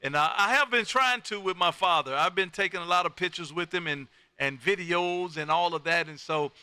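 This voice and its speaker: A man's voice: high voice, normal speed, pushed and bright, clear, resonant tone, no echo. A folksy, exuberant man, chatting casually as a ham radio host who peppers his talk with "man". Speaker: amateur radio operator and live stream host.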